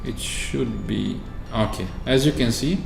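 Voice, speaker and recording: A man from Oman chatting casually through a software walkthrough, explaining with fillers and restarts. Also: mid-range voice, unhurried, gravelly, soft background music. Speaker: tech video host. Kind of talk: screen-recorded software walkthrough. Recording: echoey room with faint ambience.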